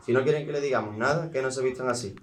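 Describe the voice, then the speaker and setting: Boy acting a quick, dismissive teen footballer. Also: mid-range voice, natural voice. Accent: Andalusian Spanish. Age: teens. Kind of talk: amateur radio drama acting.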